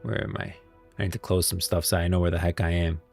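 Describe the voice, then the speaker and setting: A man's voice: low-pitched, level tone, fast talker, gravelly, darkish tone, faint music behind. A man giving casual, off-the-cuff livestream commentary, thinking aloud and offering opinions as he explains a story. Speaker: live streamer and lore commentator.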